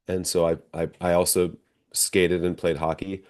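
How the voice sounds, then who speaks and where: An American man giving conversational, reflective interview answers as a guest, explaining things in a measured, step-by-step way. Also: low-pitched, flat delivery, a little mellow. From northern Minnesota, United States. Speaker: interview guest, professional stage actor.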